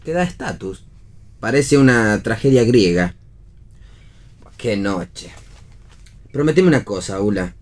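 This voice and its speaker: A man's voice: fairly low pitch, lively intonation, takes it slowly, pauses often, a little mellow. An Argentine man playing a wry, sardonic young husband in a radio play reading. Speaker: actor in a read-theatre radio play.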